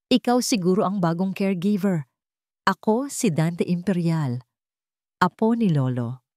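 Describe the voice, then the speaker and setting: A woman's voice: fairly low pitch, animated, measured pace, darkish tone, no echo. Female narrator as polished young male heir in an audio drama, charming yet calculating, dry sound. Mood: warm, charming. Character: smooth, charming rich young grandson with a cold streak.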